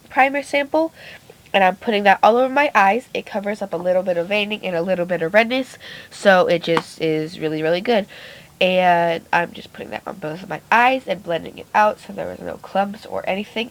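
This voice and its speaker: Brief: woman with a raspy voice, casual and rambly beauty vlogger, phone mic. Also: fairly low pitch, rough recording. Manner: chatty, rambling, self-correcting. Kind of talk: casual makeup tutorial narration. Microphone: phone camera.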